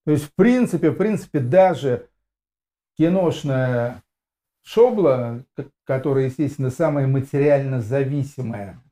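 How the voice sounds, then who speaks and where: Hesitant man with a mellow voice. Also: mid-range voice. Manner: hesitant, halting phrasing. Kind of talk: casual discussion.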